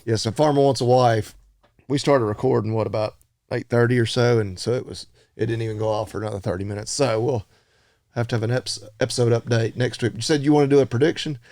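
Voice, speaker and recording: A laid-back man co-hosting a podcast, talking easily and filling pauses with ums and uhs. His voice has a gravelly rasp. It is recorded close and dry. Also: medium pitch, fairly even intonation, darkish tone, no echo. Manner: laid-back, chatty, hedging fillers. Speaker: podcast co-host. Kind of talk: casual chat.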